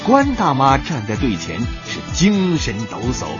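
A man's voice: mid-range voice, huge pitch swings, measured pace, highs cut off. A man delivering scripted storytelling narration for a radio comedy drama. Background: music.

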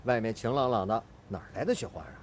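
Actor as decisive steel plant foreman, lively intonation. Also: fairly low pitch, fast, a little hiss or hum, natural voice. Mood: brisk, concerned. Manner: decisive, clear, polite but firm. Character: firm, capable Chinese male steel plant foreman. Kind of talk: radio drama acting.

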